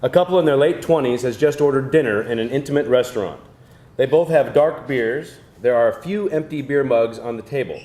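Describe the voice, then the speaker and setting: A man's voice: mid-range voice, fairly quick, a little mellow. Male screenplay narrator, mid-range voice, reading scene directions in a reverberant room. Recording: echoey live room.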